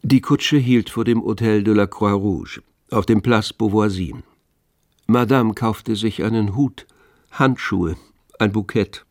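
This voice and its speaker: A man's voice: fairly low pitch, slow pace, dry recording, clean studio sound. A man acting as the narrator of a literary radio play, telling a nineteenth-century story. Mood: measured narrating. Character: literary narrator of a 19th-century French novel. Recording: music under the voice. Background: music.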